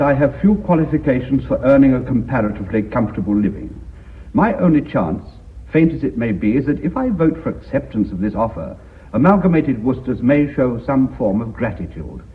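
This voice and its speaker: An actor playing a flustered, anxious company director in a radio drama, with an English accent and a fussy, formal manner. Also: male voice, medium pitch, sing-song, normal speed, natural voice. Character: flustered, anxious gentleman director, frankly self-serving. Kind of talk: BBC radio drama acting. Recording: narrow phone-like old radio recording.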